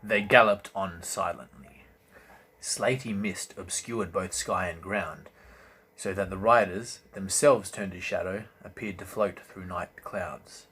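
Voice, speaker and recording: A man reading a fantasy novel as dramatic narration, with deliberate, theatrical emphasis. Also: deep voice, fairly bright tone. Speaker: audiobook reader, host. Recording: amateur recording with faint background noise.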